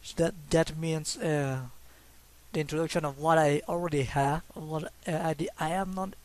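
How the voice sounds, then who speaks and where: A man's voice: on the high side, steady tone, normal speed, a little mellow. Hesitant young man with a Mexican accent. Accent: Mexican Spanish. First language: Spanish. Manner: hesitant, many fillers and restarts; self-conscious, laughs a little. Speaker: hobby artist. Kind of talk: casual talk over his drawings.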